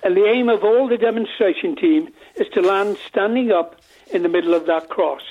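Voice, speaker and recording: A man's voice: sits high, moderate pace, phone-quality sound. A man from a parachute display team answering radio interview questions in a friendly, explanatory way. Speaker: parachute demonstration team member.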